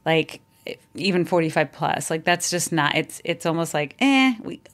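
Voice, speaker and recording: A woman's voice: low-pitched, lively intonation, moderate pace. A woman in her forties giving frank relationship advice in a casual podcast conversation. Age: 40s. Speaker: relationship expert guest.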